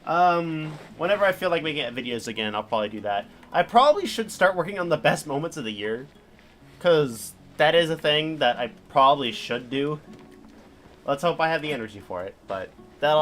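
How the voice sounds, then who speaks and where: A man's voice: fairly high pitch, a bit bright. A man giving casual live commentary as a game streamer, rambling and self-deprecating. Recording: livestream with faint game music.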